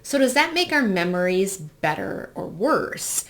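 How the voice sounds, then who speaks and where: A woman's voice: mid-range voice, sing-song, measured pace, gravelly. An American woman in her forties from the Midwest, hosting with a personal, reflective monologue. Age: 40s. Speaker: host.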